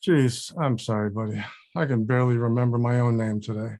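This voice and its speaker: A man acts out an older actor, weary and wry, in a comedy-drama table read. He talks to an old friend in a loose, conversational way, at a middling male pitch. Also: steady tone, fairly quick, a little mellow, a little hiss or hum, natural voice.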